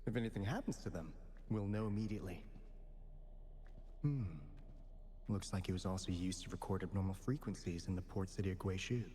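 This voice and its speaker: An actor voicing a theatrical, playful and knowing guardian of a secret order in a video game, with dramatic pauses and flourishes. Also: male voice, deep voice, dark tone, long reverb tail, slight background noise, close to an everyday voice. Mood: pensive, curious.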